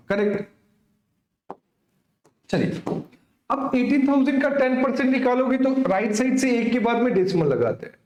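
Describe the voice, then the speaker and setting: A very high-voiced man works through problems like a coaching teacher. He speaks straight to his students and keeps adding little check questions. The sound is clearly echoey, as in a bare room. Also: a little mellow, muffled recording. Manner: repetitive tag questions, addressing students directly. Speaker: maths teacher. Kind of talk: exam coaching lesson, explaining worked problems.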